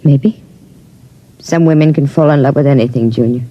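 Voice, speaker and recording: An actress playing a nervous, guarded gangster's moll in an old-time radio drama. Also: female voice, rumbling low, normal speed, muffled recording. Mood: wary, guarded. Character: jittery, wary gangster's moll, uneasy and evasive.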